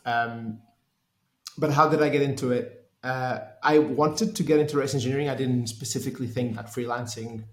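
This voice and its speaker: A man's voice: medium pitch, fairly even intonation, normal speed, reverberant. A man from Portugal in his thirties, a race engineer answering interview questions in a hesitant way with many restarts. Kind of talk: conversational interview.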